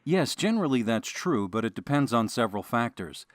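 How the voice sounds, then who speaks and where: A man acts an affable mortuary doctor in a modern radio play. He explains procedures mildly and a little hesitantly, with a lively mid-pitched voice. The studio sound is dry. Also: a little fast, no room sound, lightly acted. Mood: troubled. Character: mild, cooperative male mortuary doctor, affable. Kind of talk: modern audio drama acting.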